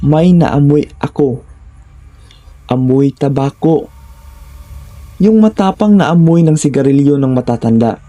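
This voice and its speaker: A man's voice: fairly high pitch, dark tone. A man with a Filipino accent giving a scripted first-person narration of horror stories.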